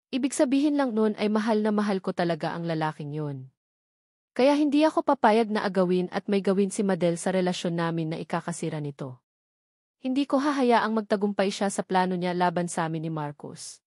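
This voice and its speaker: A woman's voice: medium pitch, a little mellow, dry recording, clean studio sound. A woman reading a first-person romance novel as a scripted story narrator. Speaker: audiobook narrator.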